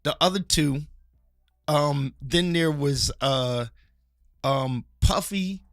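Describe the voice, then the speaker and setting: A man from Philadelphia rants passionately at a slow, unhurried pace, circling back and restarting his thoughts. His voice sits a little on the high side for a man. The sound is dry and close, with no room echo. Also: fairly bright tone, soft background music. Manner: passionate, rambling, many restarts. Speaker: podcast co-host. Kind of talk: casual church-culture chat and rant. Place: studio table with co-host.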